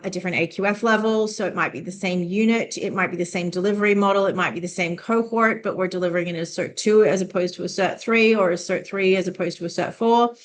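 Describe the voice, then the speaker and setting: A woman's voice: lowish voice, fairly bright tone. A woman hosting her own podcast, talking conversationally with many "um"s and restarts. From United States and Canada. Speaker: podcast host, business owner. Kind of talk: casual solo podcast.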